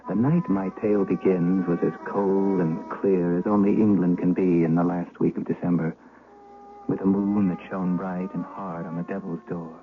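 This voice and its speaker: An actor playing an educated former shepherd, haunted, gravely and deliberately recounting his youth in a radio drama. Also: low voice, fairly even intonation. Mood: haunted, suspenseful. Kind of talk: 1970s radio drama narration. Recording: muffled vintage radio recording, music behind.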